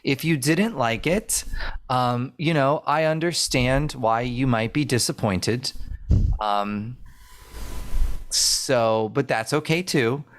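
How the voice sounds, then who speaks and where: A man's voice: mid-range voice, slow pace. A man co-hosting a podcast, losing his voice, talking hesitantly with many fillers and restarts. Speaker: podcast co-host. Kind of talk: casual podcast chat.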